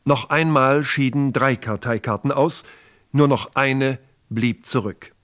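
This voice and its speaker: Man narrating an old-school crime radio play, medium voice, formal and measured. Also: mid-range voice, a bit bright.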